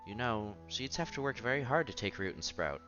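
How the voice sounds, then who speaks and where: A man's voice: medium pitch, lively intonation, fairly quick, bright, forceful tone. A man streaming a visual novel, reading the story text aloud with casual asides and the odd stumble. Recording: dull lo-fi sound with game music behind. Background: visual novel background music.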